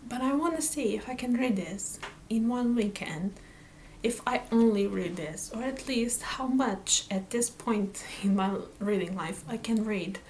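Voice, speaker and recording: A woman's voice: medium pitch, a little mellow, slight background noise, rough recording. A woman whose first language is Portuguese, chatting casually to camera about her reading plans, hesitant, with many fillers and restarts. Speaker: book vlogger.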